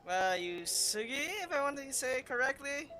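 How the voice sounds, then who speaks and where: A woman chats through a game playthrough in a bright, animated voice. She is casual and offhand, reading lines aloud over faint game music. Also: high-pitched, normal speed. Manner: chatty, offhand. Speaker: gameplay commentator.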